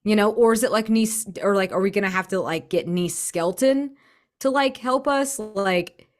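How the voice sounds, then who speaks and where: A woman in casual tabletop game chat, thinking aloud in an animated, gushing way. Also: medium pitch, moderate pace. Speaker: tabletop RPG player.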